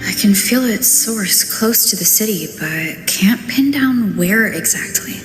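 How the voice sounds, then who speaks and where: A woman's voice: medium pitch, animated, measured pace, lightly acted. A woman playing a serious young heroine in a video game, musing thoughtfully and measuredly in a reverberant space. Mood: pensive, puzzled. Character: pensive, serious young female wandering heroine. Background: music.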